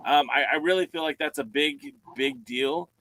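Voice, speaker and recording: A man's voice: fairly high pitch, moderate pace, pushed and bright, no room sound. A man hosting a casual podcast, steering the conversation and moving it on to the next topic. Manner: steers the talk, moves topics along. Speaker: podcast host.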